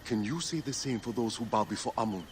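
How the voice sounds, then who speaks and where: An actor playing a fervent, devout priest of a heretical sun cult in a video game, earnest and pleading. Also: male voice, medium pitch, quick pace, slight background noise, close to an everyday voice. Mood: devout, measured. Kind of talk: dramatic video game cutscene acting.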